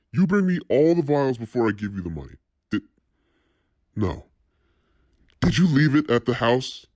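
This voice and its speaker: A man's voice: mid-range voice, darkish tone, no echo. A man playing a cold, menacing crime boss in an audio drama, commanding and threatening. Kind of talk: dramatic audio scenario acting.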